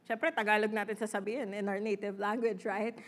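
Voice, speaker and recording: A woman's voice: mid-range voice, level tone, a little fast, a bit bright. A woman with a Filipino accent, a parent, preaching an earnest sermon in church, mixing English and Tagalog with fillers. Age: adult parent. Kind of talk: Taglish sermon.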